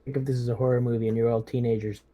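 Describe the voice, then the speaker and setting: A man's voice: medium pitch, flat delivery, rapid-fire, a crowd in the background. A man playing a tabletop roleplaying game, talking casually and giving orders in character.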